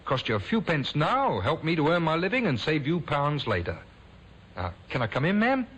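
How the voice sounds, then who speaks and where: Glib young handyman conman, very animated. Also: a little high, normal speed, husky, dull audio, exaggerated voice. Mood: ingratiating. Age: young adult. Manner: glib, folksy, ingratiating patter. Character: smooth-talking young handyman conman, wheedling, turning menacing. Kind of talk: 1950s radio acting. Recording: noisy old 1950s radio recording.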